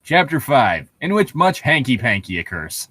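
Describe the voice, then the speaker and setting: A man with an animated, swinging delivery and a mid-range voice, recorded close and dry. He reads aloud and riffs casually as a co-host, with a sarcastic, joking manner. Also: mid-range voice, normal speed, husky, no room sound. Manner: jokey, sarcastic, laughs often. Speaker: co-host reading fan fiction aloud. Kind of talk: casual riffing and comedic read-aloud.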